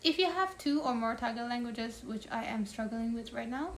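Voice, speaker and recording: A woman's voice: a little high, normal speed. A young woman giving casual, chatty advice on her vlog, with a sprinkling of fillers. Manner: chatty, frequent "like" fillers, upbeat. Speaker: language-learning vlogger.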